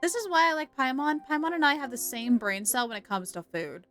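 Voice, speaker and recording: A woman's voice: high-pitched, fairly quick, a bit bright. A woman streaming a game, giving casual, playful commentary as she reacts aloud to the story. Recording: game music behind the voice. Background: game music.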